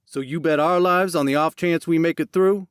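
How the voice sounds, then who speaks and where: Man acting a furious, hot-tempered hunter, voice pushed high. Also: steady tone, normal speed, clear, resonant tone, dry recording, clean studio sound, natural voice. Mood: angry, demanding. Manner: barking, confrontational, demanding. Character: angry hot-tempered male hunter, demanding and forceful. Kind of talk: audiobook character acting.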